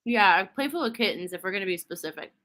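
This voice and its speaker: A woman's voice: mid-range voice, expressive, quick pace, fairly bright tone. A woman co-hosting a class, chiming in with joking, laughing asides. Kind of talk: casual banter.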